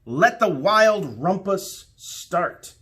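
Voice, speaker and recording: A man's voice: high-pitched, animated, extremely slow, a bit bright, breathy edge, pitched above the performer's own voice, exaggerated voice. A man voicing a defiant, bossy little boy from a storybook, commanding and emphatic.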